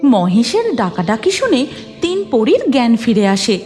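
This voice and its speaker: A woman's voice: fairly high pitch, sing-song, a little slow, a little mellow, faint music behind. A woman narrating a scripted fairy tale as a storyteller.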